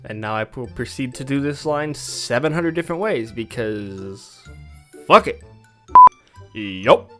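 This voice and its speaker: A woman's voice: a bass voice, expressive, unhurried, over music. A woman doing voice actor outtakes, playfully repeating a line and swearing in her asides. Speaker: amateur voice actor.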